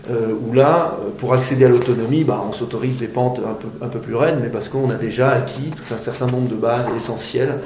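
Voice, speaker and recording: A man's voice: medium pitch, fairly quick, hardly any pauses, darkish tone, slight background noise. A man who co-wrote a book, explaining it at a panel evening with many halts and restarts. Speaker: book co-author. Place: small room in a bookshop. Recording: echoey room.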